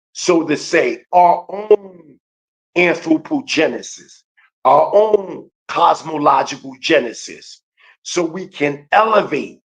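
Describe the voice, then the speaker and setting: Emphatic man lecturing in a preaching cadence. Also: a little high. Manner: emphatic, slow, repeats key phrases. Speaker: guest teacher. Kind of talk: preaching-style lecture on a livestream.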